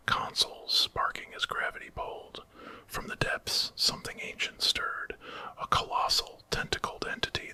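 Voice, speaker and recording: A man's voice: very high-pitched, sharp tone, poor audio. Whispering male horror storyteller. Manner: whispering throughout, dramatic storytelling. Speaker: story narrator.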